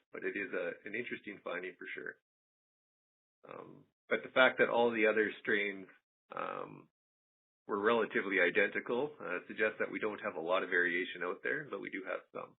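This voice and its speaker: A man gives an informal technical presentation on a conference call as an animal health presenter, measured and halting, with frequent pauses. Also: fairly low pitch, creaky, breathy edge. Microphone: telephone conference call. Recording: muffled, tinny phone conference line.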